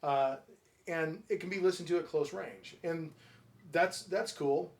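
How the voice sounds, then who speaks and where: A man's voice: a little high, a little slow. A man chatting casually as a co-host, agreeable and inquisitive, recorded at a distance from the microphone. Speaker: audio shop owner, co-host. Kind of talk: casual conversational chat.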